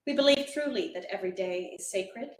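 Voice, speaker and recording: A woman's voice: mid-range voice, lively intonation, fairly quick. A woman reading poetry aloud at a live literary event. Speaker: poetry reader. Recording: echoey room.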